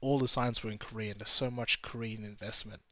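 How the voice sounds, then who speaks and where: A man's voice: on the low side, fairly even intonation, fairly quick, bright, forceful tone, no room sound. A man giving a casual, chatty running commentary from inside a moving car, musing aloud and drifting off topic. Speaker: travel vlogger. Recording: slightly dull, dry sound.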